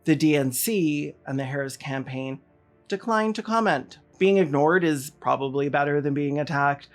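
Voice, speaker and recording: Video essay host, mid-pitched, sardonic and emphatic scripted commentary over light music.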